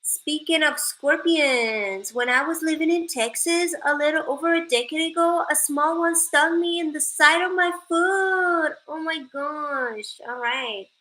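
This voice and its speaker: A woman's voice: sits high, unhurried, hardly any pauses, sharp tone, low-quality recording. A young woman from Sweden chatting casually with viewers on a livestream, joking playfully and reading out their comments. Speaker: live streamer.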